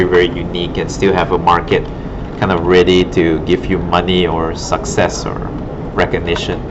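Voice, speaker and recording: A man giving informal, rambling career advice as an art instructor, encouraging and often checking in with his listeners. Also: low voice. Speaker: art instructor and former art director. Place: classroom at an art academy. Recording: muffled audio with clear background noise.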